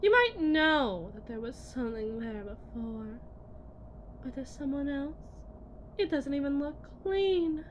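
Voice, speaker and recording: A woman playing a cold, condescending lead technician in an ASMR audio roleplay, speaking deliberately and often dropping toward a breathy whisper. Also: high voice, very animated, dull audio, slight background noise, full character voice. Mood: clinical, detached. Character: cold, condescending female lead technician at shady tech company.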